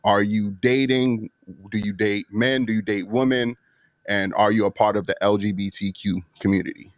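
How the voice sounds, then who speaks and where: A man's voice: lowish voice, fairly even intonation, normal speed, no echo. A man hosting a podcast chat, teasing and laid-back as he puts questions to his guests. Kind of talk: casual chat.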